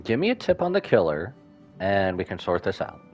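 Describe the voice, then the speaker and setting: A man gives casual live commentary as a game streamer. His low voice swings expressively through informal asides. Faint game music plays behind him. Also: sing-song, brisk, darkish tone, highs cut off.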